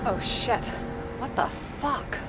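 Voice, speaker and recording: A woman's voice: takes it slowly, very noisy, natural voice. An actress playing a blunt, wary female police partner in a video game, speaking frankly and saltily. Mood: alarmed, blunt. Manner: frank, salty, cautious. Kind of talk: game dialogue over a remote link.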